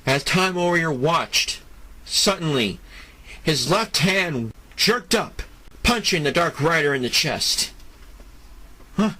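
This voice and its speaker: Slow, bright-voiced male dramatic narrator. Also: a little high, animated. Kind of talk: scripted dramatic narration of a superhero audio drama.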